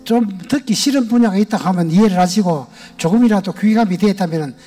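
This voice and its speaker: A man's voice: sits high, fairly quick, dark tone, soft background music. An older man with a Gyeongsang accent, a retired church elder giving a folksy, homespun personal testimony to a congregation in a large church sanctuary. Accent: Gyeongsang Korean.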